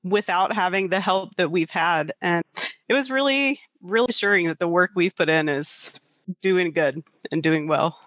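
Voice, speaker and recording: A woman's voice: fairly low pitch, normal speed, a bit bright, no room sound. A woman co-hosting a podcast, talking casually and candidly about personal life with self-deprecating openness. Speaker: podcast co-host.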